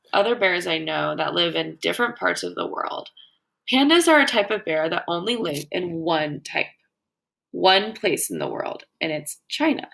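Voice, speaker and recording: Woman teacher reading aloud, very creaky voice. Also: medium pitch, a bit bright, low-quality recording. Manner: explanatory, pauses to wonder aloud and pose questions to young listeners. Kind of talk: educational read-aloud of a children's nonfiction book with think-aloud comments.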